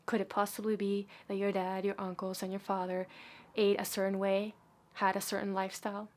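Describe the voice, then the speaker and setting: A woman talking straight to her audience in a chatty, opinionated vlog, with a voice of ordinary middle pitch for a woman. She swings between loud emphatic bursts and quick hushed asides. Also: monotone. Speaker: vlogger. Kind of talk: casual opinionated monologue to camera.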